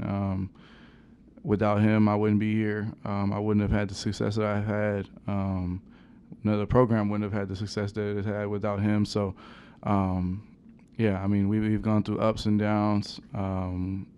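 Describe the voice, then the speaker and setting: A young man, a college basketball player, answering questions at a post-game press conference in a halting, soft-spoken way with many fillers, into a table microphone. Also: fairly low pitch, clear, resonant tone. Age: young adult. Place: press conference room with sponsor backdrop.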